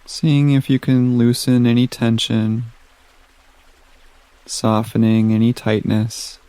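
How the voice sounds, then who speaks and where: A man leading a guided meditation in a gentle, soothing manner, giving soft instructions with long pauses. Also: mid-range voice, completely flat delivery, clear, resonant tone, dry recording.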